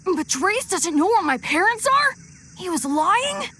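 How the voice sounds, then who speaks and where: A woman voicing a plucky, earnest young boy hero in an animated game, boyish and exclamatory. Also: extremely high pitch, unhurried, a little hiss or hum, full character voice. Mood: indignant.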